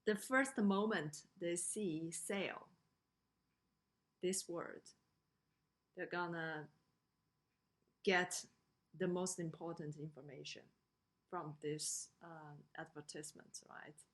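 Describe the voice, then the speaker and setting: Slow, halting woman lecturer with Chinese accent. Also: fairly low pitch, fairly even intonation. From China. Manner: halting, with frequent pauses and hesitations. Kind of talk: slide lecture on design usability.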